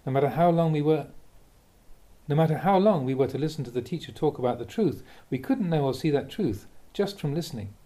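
A man reading a Buddhist teaching aloud like a monk giving a talk, in a measured, conversational and expressive way. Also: fairly high pitch. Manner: measured, expressive, with rhetorical questions. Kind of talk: read-aloud Dhamma talk, conversational delivery.

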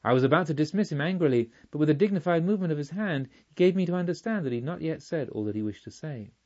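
A man reading the part of a proud, grieving noblewoman who tells her story in formal, measured audiobook narration. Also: on the high side, lively intonation, darkish tone, lo-fi sound. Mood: indignant. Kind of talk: audiobook first-person narration.

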